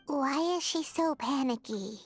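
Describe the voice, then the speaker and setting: A woman voicing a tiny, perky, childlike fairy companion in an anime game, with a squeaky, excitable way of talking. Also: unhurried, soft background music. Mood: concerned. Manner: excitable, refers to self in third person.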